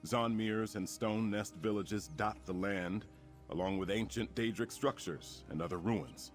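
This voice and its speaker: A man performs a resolute fantasy war leader from a video game. His voice sits fairly low, and he speaks in formal, earnest, commanding lines. Music plays underneath. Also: a little slow, lightly acted. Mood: grave, informative. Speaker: quest-giving game character. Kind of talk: scripted fantasy game dialogue.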